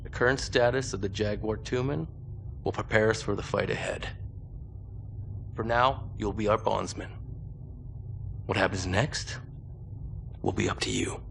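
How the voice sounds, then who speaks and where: An actor playing a commanding, guarded mercenary colonel in a game drama, speaking with gravelly, measured military authority. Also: male voice, lowish voice, fairly bright tone, slight background noise. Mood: measured, serious.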